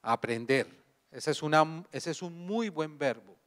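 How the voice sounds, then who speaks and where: A man with a Colombian accent giving a university lecture, speaking slowly and deliberately and putting questions to the audience. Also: on the high side, expressive, fairly bright tone. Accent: Colombian Spanish. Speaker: guest speaker. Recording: long hall reverb.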